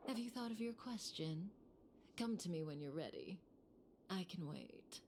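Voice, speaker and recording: A woman's voice: lowish voice, lively intonation, measured pace, background noise. An actress playing a poised, teasing woman villain in a fantasy video game, with composed, fatalistic delivery. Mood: patient. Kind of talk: video game character acting.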